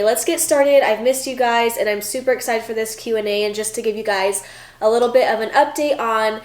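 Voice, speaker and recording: A woman's voice: fairly high pitch, roomy sound. A woman in her twenties answering viewer questions in a casual vlog, chatty with lots of fillers, sounding stuffy from a cold. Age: 20s. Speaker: vlogger, former college gymnast.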